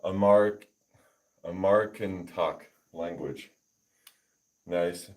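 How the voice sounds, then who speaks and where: Low, slow-talking man streaming a workout. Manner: relaxed, halting, reads viewer comments aloud. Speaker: fitness livestreamer. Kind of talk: casual livestream chat while working out.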